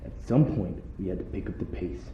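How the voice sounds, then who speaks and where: Distant, echoey, low-voiced cocky soldier played by a man. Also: animated, fairly quick, mellow. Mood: probing. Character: cocky, loyal young soldier friend. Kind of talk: anime fan audio drama acting. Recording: echoey, dull, distant, faint noise.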